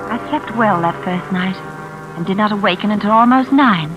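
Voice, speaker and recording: A woman's voice: mid-range voice, moderate pace, dull audio, close to an everyday voice. An actress playing a polite, trusting young woman with earnest, careful diction in 1940s radio drama style. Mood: recounting. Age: young adult. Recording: vintage radio recording with music behind.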